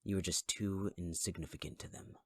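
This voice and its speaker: A man voicing a taunting young male fire villain in an audio roleplay, speaking in a husky, mocking, intimate voice with close whispers. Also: low-pitched, fairly even intonation, brisk. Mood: cruel. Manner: mocking, cruelly patient, intimate. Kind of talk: ASMR audio roleplay acting.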